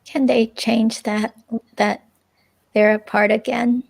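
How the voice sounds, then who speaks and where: A woman's voice: fairly high pitch, little pitch movement, slow, gravelly, exaggerated voice. A woman playing a hesitant, curious ex-girlfriend questioned by police, speaking casually in a transcript reading. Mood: curious, cautious. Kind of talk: dramatic reading of an interview transcript.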